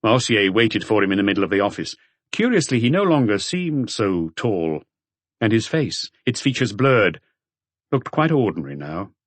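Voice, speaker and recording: A man reading a detective novel as an audiobook narrator in a measured way. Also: fairly low pitch, big pitch swings, dry recording.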